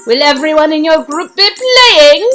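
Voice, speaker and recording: A woman's voice: high-pitched, expressive, normal speed, fairly bright tone, faint music behind, pitched above the performer's own voice. A woman playing a polite, welcoming casino croupier who explains things in an instructive manner.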